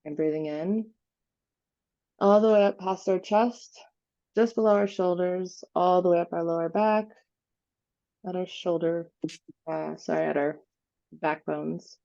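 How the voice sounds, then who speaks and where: Low, creaky-voiced woman guiding a meditation. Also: fairly even intonation, measured pace, pauses often, dark tone, highs cut off. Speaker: guided meditation leader. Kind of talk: guided visualization meditation, unscripted.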